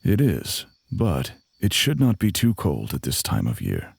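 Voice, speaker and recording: Male actor as genial fantasy traveling companion, low husky voice, formal wording, worldly, audio drama. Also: wildly swooping pitch, no echo, studio quality, exaggerated voice. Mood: composed. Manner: formal, measured phrasing.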